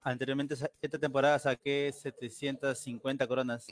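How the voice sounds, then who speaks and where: A man from Peru with a Peruvian Spanish accent, chatting casually over voice chat while playing, explaining things calmly. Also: medium pitch, fairly quick. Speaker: guest player.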